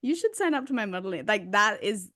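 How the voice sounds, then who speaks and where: A woman's voice: mid-range voice, big pitch swings, a little fast, fairly bright tone, no echo. Woman cohost rambling and giggling, says like a lot, dry mic. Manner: rambling, lots of "like" and laughing. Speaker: podcast co-host. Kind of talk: casual podcast chat.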